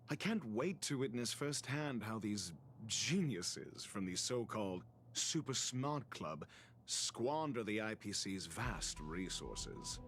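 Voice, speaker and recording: A haughty, theatrical game scholar who looks down on everyone. His mid-pitched voice rises and dips with florid, sardonic relish, and faint music plays behind him. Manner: pompous, drawling, florid wording. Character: arrogant, theatrical male scholar, condescending and sardonic. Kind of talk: video game voice acting.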